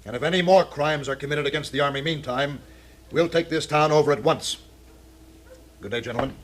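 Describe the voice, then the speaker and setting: An actor playing a stern, demanding, threatening army officer in a 1950s radio western. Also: male voice, a little high, fairly quick, lightly acted. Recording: noisy 1950s radio recording with clicks.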